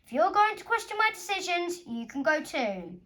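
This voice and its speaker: A woman's voice: very high-pitched, very animated, moderate pace, sharp tone, pitched above the performer's own voice, exaggerated voice. A strict, short-tempered male teacher, put on by a woman reading aloud. He snaps out orders in a commanding bark, as a storybook villain would. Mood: stern, angry. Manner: barking, commanding. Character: stern, bad-tempered male supply teacher.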